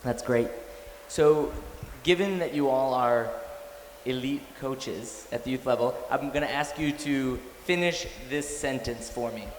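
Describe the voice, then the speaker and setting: Man moderating a panel in an echoey hall. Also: medium pitch. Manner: measured, formal introductions with fillers. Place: conference hall. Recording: echoey hall with faint noise.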